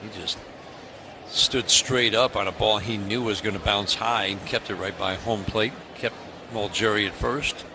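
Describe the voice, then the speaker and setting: A man calling baseball play-by-play on the radio, briskly, his voice rising on big plays. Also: lowish voice, steady tone, background noise. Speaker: play-by-play announcer.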